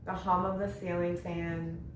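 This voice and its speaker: A woman leading a guided yoga meditation, speaking gently in short, unhurried phrases with long pauses between them. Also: fairly low pitch, flat delivery. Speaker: yoga nidra meditation instructor. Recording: roomy echo with faint background noise.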